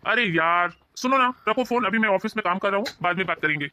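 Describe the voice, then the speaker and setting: An actor playing a placating, exasperated husband who avoids conflict in an animated family story. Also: male voice, high voice, fast talker, clean tone, dry recording.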